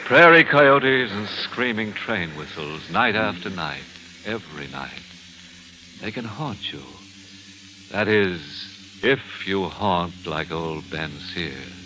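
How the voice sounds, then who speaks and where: A man's voice: low voice, lively intonation, pushed and bright, a little hiss or hum, heavily acted, rough recording. A male actor playing a ghoulish, mocking horror host in an old radio mystery, theatrical and sardonic. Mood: ominous, morbid. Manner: sardonic, theatrical, drawn-out delivery with morbid glee. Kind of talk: 1940s radio mystery acting. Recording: old radio broadcast recording.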